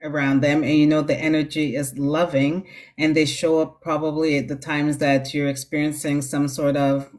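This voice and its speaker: Low-voiced woman hosting a live stream. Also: flat delivery, moderate pace, a little mellow. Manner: reassuring, affirming, chatty. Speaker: live-stream host giving spiritual readings. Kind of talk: casual live-stream chat. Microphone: phone on a live stream.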